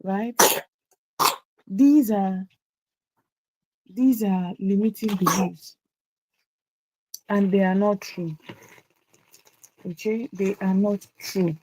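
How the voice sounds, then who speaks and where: A woman's voice: mid-range voice, fairly even intonation, extremely slow, long pauses, mellow, a little hiss or hum, poor audio. A woman coaching a group through a motivational teaching session, speaking emphatically and repeating key phrases for stress. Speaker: visibility coach.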